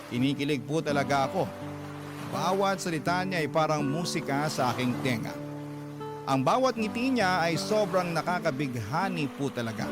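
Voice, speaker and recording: A man narrating a young woman's love story as a heartfelt letter, in an earnest, gentle storytelling tone. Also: fairly high pitch, lightly acted. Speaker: radio drama narrator. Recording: narration over loud background music.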